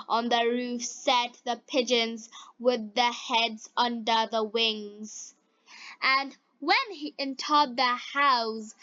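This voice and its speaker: A woman narrates a fairy tale at an extremely slow pace, pausing between short, deliberate phrases. Her voice is fairly high and clearly bright, as if pitched for learners following along. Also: dry recording, dull audio. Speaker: storyteller for English learners.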